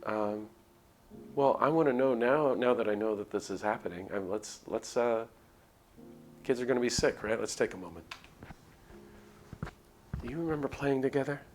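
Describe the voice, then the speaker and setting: A man's voice: fairly low pitch, moderate pace. A man streaming a visual novel, reading the narration aloud expressively with casual asides. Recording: music under the voice. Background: game background music.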